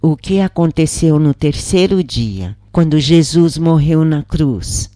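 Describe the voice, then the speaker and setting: A woman's voice: low-pitched, slow pace, warm tone, no room sound, clean studio sound, voice pushed up. A woman with a Brazilian accent voicing a devout, earnest daughter who questions and instructs her mother in a story. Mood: instructive.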